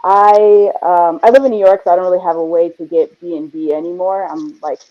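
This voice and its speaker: A caller from New York with a mid-pitched voice asks a question in an eager, casual way. The line sounds dull and lo-fi. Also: fairly even intonation, brisk.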